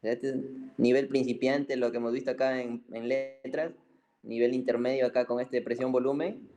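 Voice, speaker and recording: A man's voice: medium pitch, fairly even intonation, normal speed. A man giving an informal medical lecture, colloquial and didactic, repeating key points and often checking in with short tags. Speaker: medical physiology teacher.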